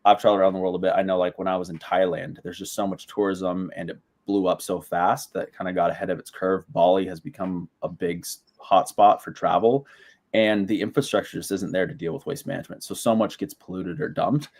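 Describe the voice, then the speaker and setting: Deep-voiced man pitching earnestly. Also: steady tone, a little fast, darkish tone. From Southern California. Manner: earnest, explanatory, casual phrasing. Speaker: partnership presenter. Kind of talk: business pitch on a call.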